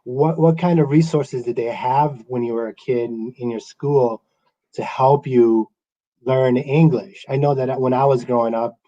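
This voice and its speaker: A man from Guatemala whose first language is Spanish, hosting a casual interview with a relaxed, stammering delivery. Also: mid-range voice, measured pace, highs cut off. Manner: relaxed, hesitant with stammered restarts. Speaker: radio host.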